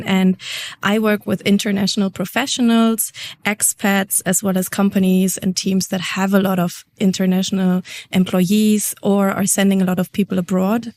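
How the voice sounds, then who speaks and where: Friendly woman business coach, mid-pitched. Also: a little mellow. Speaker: business coach and intercultural trainer. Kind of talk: podcast interview.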